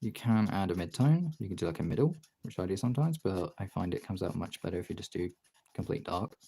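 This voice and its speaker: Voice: low-pitched, lively intonation, fast talker, vocal fry, warm tone, breathy edge. Someone giving a casual hands-on art tutorial, rambling and thinking aloud while working.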